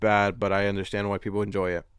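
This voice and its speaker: A man's voice: fairly low pitch, level tone, fast talker, clean tone, no room sound. Young male podcast co-host, dry sound, slangy, sweary, loose conversational rambling. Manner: rambling, slangy, profane, jokey. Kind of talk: casual movie discussion chat.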